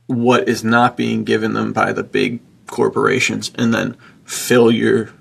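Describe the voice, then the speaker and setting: A man with a husky, gravelly voice, hosting a casual podcast discussion in an encouraging, opinionated manner. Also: medium pitch, a bit bright. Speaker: podcast host.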